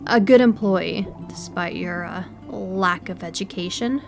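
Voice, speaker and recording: A woman in an audio roleplay playing an educated, politely condescending aquarium worker who speaks in a measured, precise way. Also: medium pitch, creaky, faint music behind. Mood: condescending reassurance. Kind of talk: audio roleplay acting, one-sided conversation.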